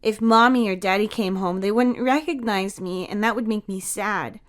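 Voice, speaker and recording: A woman's voice: medium pitch, moderate pace, voice pushed up, lightly acted. A woman voicing a sad, innocent little boy with a hesitant, stammering manner in an audio story. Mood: worried.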